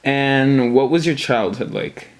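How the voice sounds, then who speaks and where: A man's voice: medium pitch, takes it slowly. A young man interviewing a relative, hesitant, stumbling through his questions. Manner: hesitant, many fillers and restarts. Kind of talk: casual family interview.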